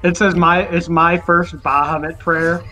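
Brief: male player, slightly high voice, playful. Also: flat delivery, a little slow, crowd noise.

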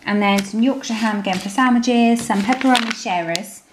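A woman's voice: fairly high pitch, normal speed. A woman with a British accent chatting informally through a grocery haul as a family vlogger, filming at home on a handheld camera. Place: indoor room at home. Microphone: handheld camera microphone. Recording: roomy, noisy home camera recording.

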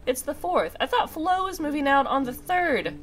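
A woman's voice: high voice, big pitch swings, normal speed, fairly bright tone. Congested woman doing casual gaming commentary. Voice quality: slightly nasal, congested. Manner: chatty, talks to herself. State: congested with a sinus infection, recovering from a cough and sore throat. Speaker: gaming video host. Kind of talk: casual let's play commentary. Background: video game music.

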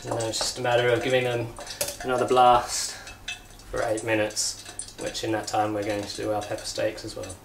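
A man's voice: on the low side, a little fast, gravelly, noisy background. Chatty man cooking in an echoey kitchen. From United Kingdom. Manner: relaxed, chatty, talks while working. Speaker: cooking show chef. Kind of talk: casual cooking demonstration. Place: home kitchen. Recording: echoey kitchen with loud sizzling. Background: frying and sizzling.

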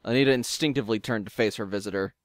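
A man narrating a scripted story in a measured, literary way. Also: fairly low pitch, noisy background. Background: music.

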